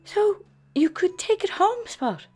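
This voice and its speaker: A woman's voice: very high-pitched, sing-song, slow pace, darkish tone, soft background music, voice pushed up, heavily acted. Woman voicing a cheeky little storybook boy. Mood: playful.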